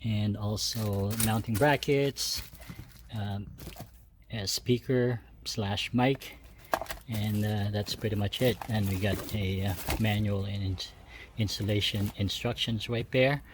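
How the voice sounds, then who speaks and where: A man hosting a DIY video, talking viewers through steps casually with halting, hesitant phrasing. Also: fairly low pitch, fairly even intonation, background noise. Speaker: DIY car modification video host. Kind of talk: casual step-by-step tutorial talk.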